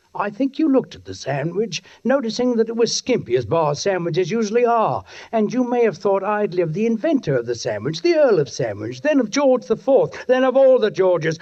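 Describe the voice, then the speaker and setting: Menacing police sergeant, highish voice, deliberate dramatic delivery, 1940s suspense radio, muffled old recording. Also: male voice, darkish tone. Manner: deliberate, literary, dramatic narration. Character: British police sergeant, brooding, secretly menacing, addressing a newspaperman. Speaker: actor and narrator in a radio drama.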